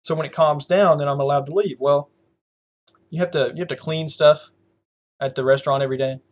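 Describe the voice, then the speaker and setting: A man's voice: fairly high pitch, fairly even intonation, brisk. A young man with a Southern US accent, chatting casually as a podcast host, rambling with lots of fillers and frequent laughs. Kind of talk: casual chat.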